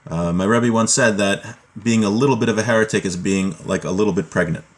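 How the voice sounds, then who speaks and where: A man's voice: lowish voice, fairly quick. Man teaching a religious law class. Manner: explanatory, conversational, often checking with "right?". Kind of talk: informal lecture, reading Hebrew text aloud and explaining it.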